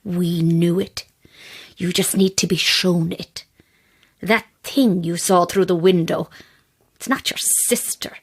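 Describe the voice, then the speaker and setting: Casting: eerie female believer in a horror audio drama. Husky-breathy, unhurried, insistent, menacing. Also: on the low side, fairly even intonation, exaggerated voice. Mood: quiet menace. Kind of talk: dramatic audio fiction acting.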